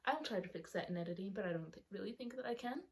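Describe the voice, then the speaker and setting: A woman's voice: mid-range voice, expressive, very fast. A young woman talks casually to camera about her books, rambling and stopping to correct herself. A retainer leaves her speech a little thick. A faint hiss and small clicks sit under the recording. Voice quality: slightly altered by a retainer. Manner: rambling, self-correcting, with many ums. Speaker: book vlogger. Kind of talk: casual chatty vlog. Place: outdoors or by a sunny window at home.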